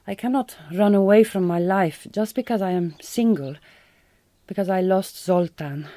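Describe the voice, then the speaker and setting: A woman's voice: mid-range voice, moderate pace, mellow, dry recording, lightly acted. Actress as a resolute, tender Hungarian woman. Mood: earnest, resolute. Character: resolute, tender Hungarian woman, bereaved migrant farm worker. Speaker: actor in a radio drama. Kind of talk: naturalistic radio drama acting.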